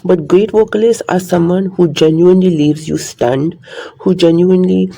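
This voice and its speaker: Man, casual vlogger monologue, earnest and rambling, slightly high with a gravelly edge. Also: normal speed, warm tone.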